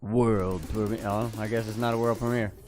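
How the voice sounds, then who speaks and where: A man hosting a livestream reaction, raspy-voiced, giving casual, slangy commentary. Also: on the low side, fairly even intonation, moderate pace, a little mellow. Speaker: livestream host.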